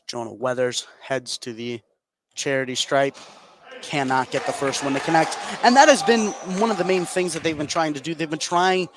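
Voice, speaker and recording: Male play-by-play announcer, slightly high voice, clipped calls that lift with the action, noisy gym behind him. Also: normal speed. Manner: excitable on big plays, short clipped phrases. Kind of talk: live basketball play-by-play commentary. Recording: clear gym noise behind the voice. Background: basketball game ambience, bouncing balls.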